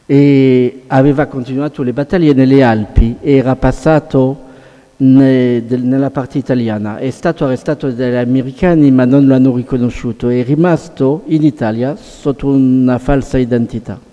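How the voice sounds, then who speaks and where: A middle-aged man presenting and interpreting informally at a panel, in short halting phrases. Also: medium pitch, steady tone, dark tone, lo-fi sound. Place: large hall, seated at panel table. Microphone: table microphone. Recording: long hall reverb.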